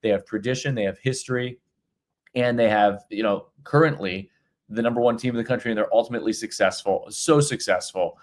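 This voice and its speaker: A man's voice: on the low side, brisk. A middle-aged man co-hosting a podcast, talking college football casually with many restarts and repeated words. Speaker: podcast co-host. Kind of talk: casual sports talk.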